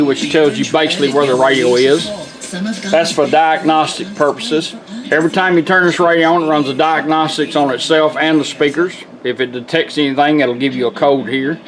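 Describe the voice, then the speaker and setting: A man with a somewhat high voice walks through a product demonstration. He talks plainly and informally, explaining each step in a folksy way. Music plays clearly behind him. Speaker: vintage car radio restorer demonstrating a product.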